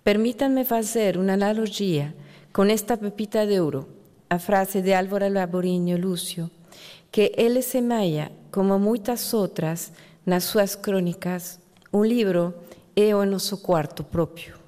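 A woman from Mexico, a native Spanish speaker with a Mexican accent, giving a measured, reflective talk as a writer at a literary event. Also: mid-range voice, dark tone. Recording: long reverb of a large hall.

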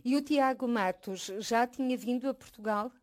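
A woman hosting a broadcast interview, asking clear, formal questions. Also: fairly high pitch, normal speed. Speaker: interviewer, host.

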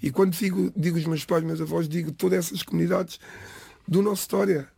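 A gravelly, slightly husky man in his forties speaks with a European Portuguese accent, his voice pitched a little high. He talks in a measured, deliberate way, restarting phrases and listing names one by one. The sound is close and dry. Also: steady tone, dark tone, no room sound. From Lisbon area, Portugal. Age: 40s. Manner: measured, lists names slowly, frequent restarts. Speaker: interviewee. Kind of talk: reflective personal testimony.

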